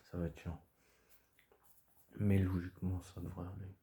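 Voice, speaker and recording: Hoarse, stuffy-nosed man, very low. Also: monotone, normal speed, warm tone. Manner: hesitant, rambling, many fillers and restarts. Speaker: video creator. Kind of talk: casual chat to camera.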